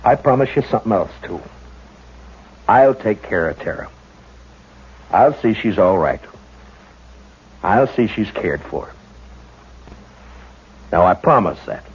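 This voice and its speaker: A man playing a steady, stern frontier marshal who speaks calmly and with authority in a 1950s radio drama. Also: lowish voice, husky, full character voice. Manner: calm, measured, authoritative. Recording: dull old radio recording with hiss.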